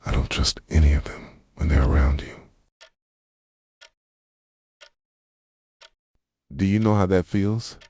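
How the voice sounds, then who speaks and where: A man playing a jealous, possessive yandere boyfriend in an intimate ASMR roleplay, speaking softly and tenderly and slipping into whispers. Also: brisk, no echo. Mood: anxious, intense. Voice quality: soft, low, slipping into whispers. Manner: tender, brooding, confessional.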